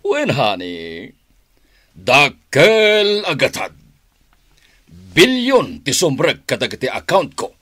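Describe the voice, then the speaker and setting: Male crime boss, Ilocano accent, radio drama, boastful, domineering, animated pitch, dry close sound. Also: slow, pauses often, dry recording, heavily acted. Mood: scheming, satisfied. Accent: Northern Philippine (Ilocano). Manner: domineering, emphatic.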